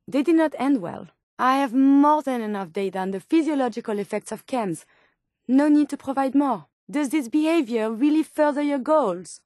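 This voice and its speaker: Game companion: polite, caring French-accented female robot scientist. High-pitched, precise, formal, dry studio sound. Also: darkish tone, dry recording. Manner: formal, precise, clinical phrasing. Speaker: video game voice actor. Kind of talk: video game companion dialogue lines.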